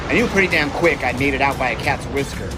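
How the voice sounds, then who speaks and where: A man's voice: medium pitch, fast, loud music behind the voice, natural voice, low-quality recording. A man playing a confident, seasoned 1920s test pilot in a scripted audio drama, talking in a folksy, assured, explanatory way. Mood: gritty recounting.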